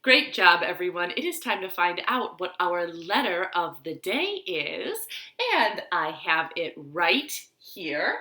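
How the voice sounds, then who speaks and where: A woman leads storytime for small children in a roomy, echoing home space. Her delivery is very slow and simple, with encouraging phrasing. The voice is bright and moves in lively swoops of pitch. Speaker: children's storytime host at a library. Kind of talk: children's storytime with rhymes and read-aloud. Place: living room at home.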